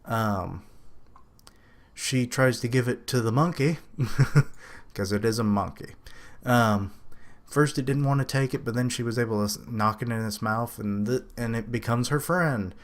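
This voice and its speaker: A man casually reviewing a comic chapter, rambling and correcting himself, with quick laughs and higher pitch when quoting characters. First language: English. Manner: lifts his pitch to quote characters.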